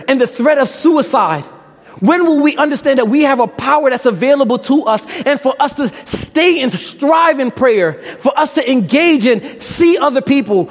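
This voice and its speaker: A young man from Brooklyn with a Brooklyn accent preaching a sermon on a campus, with impassioned rhetorical questions and a little casual slang. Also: very high voice. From Brooklyn, New York. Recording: long reverb in a large hall.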